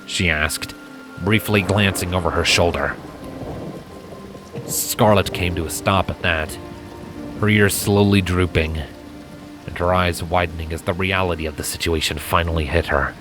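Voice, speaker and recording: A man's voice: sits low, steady tone, a little slow, long pauses. A man reading fan fiction as a dramatic, scripted storybook narration. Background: music and rain effects.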